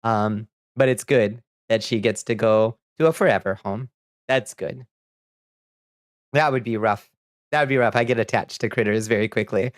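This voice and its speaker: A man in a relaxed stream chat, rambling through stories between reading viewer messages. His voice sits at a middling pitch with easy, frequent laughs and a slightly sniffly edge. The sound is dry and close, with no room around it. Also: clean tone, no room sound. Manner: rambling, chatty, quick laughs, reads chat aloud. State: a little sniffly. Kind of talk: casual chat while gaming.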